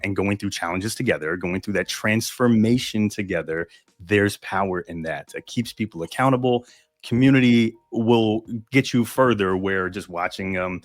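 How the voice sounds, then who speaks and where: A man hosting an online event, summing up a guest's points with steady affirmations and many fillers over a video call. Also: low voice, no echo. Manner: summarizing, affirming, filler-heavy. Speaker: event host. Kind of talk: interview hosting.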